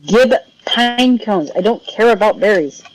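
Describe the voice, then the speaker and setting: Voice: mid-range voice, expressive, measured pace, no room sound, poor audio. A streamer making playful, casual side comments to themselves while playing a game. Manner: playful, muttering to self. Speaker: gameplay streamer.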